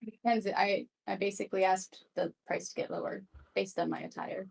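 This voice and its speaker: Woman playing a tabletop rpg, raspy voice with lots of fry, casual. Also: mid-range voice, steady tone, brisk, a little mellow, low-quality recording. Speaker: tabletop roleplay player. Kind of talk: casual game chat.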